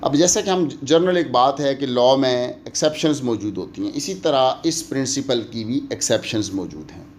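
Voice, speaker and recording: A man with a Pakistani accent giving an explanatory lecture in a didactic way, mixing in English phrases that he says more slowly. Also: mid-range voice, a little mellow, slight background noise. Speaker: law lecturer. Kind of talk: explanatory lecture, switching between Urdu and English.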